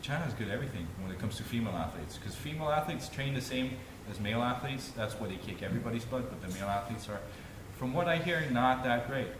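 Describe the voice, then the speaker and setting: A man co-hosting a casual podcast, telling loose stories with many pauses and fillers. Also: medium pitch, lively intonation. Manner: rambling, storytelling, many "uh" fillers. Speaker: podcast co-host. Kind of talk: casual chat. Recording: echoey room with background noise.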